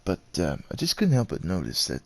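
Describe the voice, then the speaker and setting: A devoted werewolf suitor, acted by a man, speaks in an extremely low, husky voice that swoops widely in pitch. He is tender and a little nervous, with courtly old-fashioned phrasing, as in an intimate ASMR roleplay. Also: huge pitch swings, a little fast, darkish tone, full character voice. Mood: hesitant, earnest. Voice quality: gravelly, husky, very deep. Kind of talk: ASMR roleplay, acted monologue addressed to the listener.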